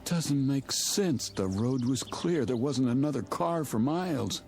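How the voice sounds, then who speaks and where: Casting: defiant, wary reporter in classic radio drama; very animated intonation, faint score behind. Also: male voice, mid-range voice, measured pace. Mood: shocked, disbelieving. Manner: blunt, defiant, questioning. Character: agitated, suspicious male newspaper reporter stranded in a town. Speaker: radio drama lead actor. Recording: radio drama with music underneath.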